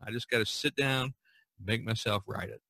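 A man hosting a podcast in a casual chat, speaking in a halting, folksy and encouraging way. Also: lowish voice, vocal fry, bright, forceful tone, no room sound, rough recording. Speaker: podcast host.

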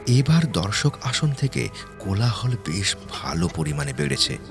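Deep, slow male story narrator. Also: big pitch swings. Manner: suspenseful, with deliberate pauses and repeated words. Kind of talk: dramatic first-person scripted ghost story narration. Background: music.

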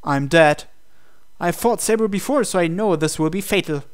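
A man's voice: high voice, moderate pace, clear, resonant tone. A man reading a visual novel aloud as dramatic first-person narration, with an earnest, intense and theatrical delivery. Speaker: let's-play reader of a visual novel.

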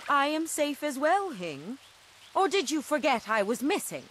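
Sharp-tongued wronged wife, swinging pitch. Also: female voice, big pitch swings, measured pace, a little hiss or hum, heavily acted. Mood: sarcastic, biting. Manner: sarcastic, biting. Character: sharp-tongued wife freed from captors, indignant, sarcastic. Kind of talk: video game voice acting.

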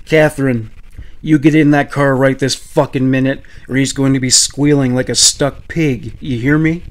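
A man's voice: medium pitch, fairly even intonation, moderate pace, natural voice. A menacing woman kidnapper in a narrated crime story, acted out by a man. She is violent and foul-mouthed. Her delivery is coarse and commanding, built on threats. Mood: furious threats.

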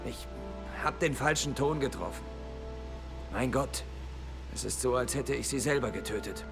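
A man's voice: mid-range voice, moderate pace, over music, lightly acted. A man playing a remorseful, troubled young soldier in a dubbed war game, earnest and subdued. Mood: guilty, regretful.

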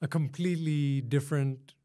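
A man hosting an interview podcast, reading a scripted intro and then asking measured questions. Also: a little high, steady tone, mellow. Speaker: podcast host.

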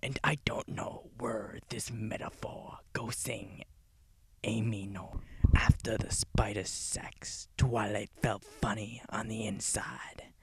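A man telling a silly story in a soft, deadpan, breathy whisper. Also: fairly low pitch, slow pace, rough recording. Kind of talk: whispered ASMR-style storytelling.

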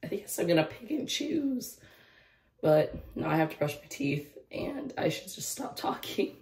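Young woman, twenties, hoarse from losing her voice; casual rambling vlogger, slightly low pitch, camera held at arm's length. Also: very animated, a little slow, darkish tone, reverberant, far from the mic. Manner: rambling, lots of "like" and "I don't know". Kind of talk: casual vlog chat. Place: car, bedroom and outdoor park. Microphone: phone or camera held at arm's length.

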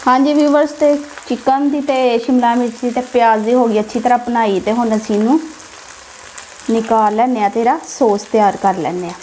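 High-voiced Punjabi woman narrating a recipe. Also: normal speed, mellow, poor audio. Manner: instructional, addresses viewers directly. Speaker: home cook, cooking channel host. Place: home kitchen, beside the stove. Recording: background noise of frying and stirring. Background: sizzling pan, stirring sounds.

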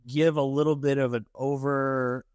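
A man's voice: mid-range voice, little pitch movement, a little mellow, smooth, no echo. A young man hosting a podcast, asking rambling questions with many fillers in an office. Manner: halting, filler-heavy questions. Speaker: podcast host. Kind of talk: casual interview. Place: bright office with a lounge set.

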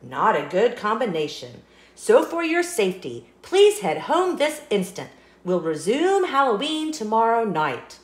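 A woman's voice: fairly high pitch, very animated, measured pace, reverberant. A woman voicing a grumpy, anxious, scheming male sunflower seed in a children's storybook, with dramatic, exclamatory delivery. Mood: loud, urgent. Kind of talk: children's storybook read-aloud.

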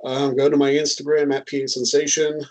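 A man's voice: fairly high pitch, little pitch movement, fairly quick, crowd noise. A man in his thirties co-hosting a casual chat on a headset, rambling and self-deprecating with many ums. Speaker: co-host. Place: home room, indoors.

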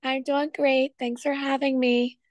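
Caller, woman, raspy, candid and chatty, dry close audio. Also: high-pitched, flat delivery, unhurried, dry recording. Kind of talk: conversation with a show host.

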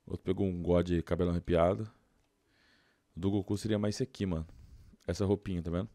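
A man's voice: low-pitched, steady tone, brisk, warm tone, no echo. A Brazilian man doing casual gameplay commentary, informal and slangy, thinking aloud into a close mic. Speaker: gaming YouTuber.

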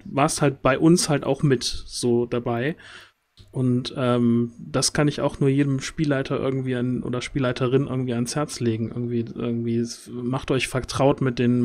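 A man's voice: medium pitch, a little mellow. A man chatting casually and giving feedback on a video call, feeling his way thoughtfully through his sentences and often rephrasing. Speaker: tabletop role-play streamer and player. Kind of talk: casual chat, giving feedback after a game. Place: indoors on a group video call.

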